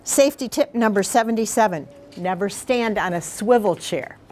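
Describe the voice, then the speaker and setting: A woman's voice: mid-range voice, normal speed, darkish tone, natural voice. Woman reading as an earnest policeman giving safety tips. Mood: earnest, instructive. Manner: instructive, declarative.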